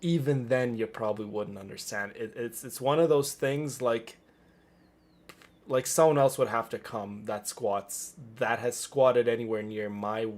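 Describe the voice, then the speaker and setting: A man streaming casually, talking in a boastful, rambling and repetitive way to his audience. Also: on the low side. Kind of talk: casual livestream chat.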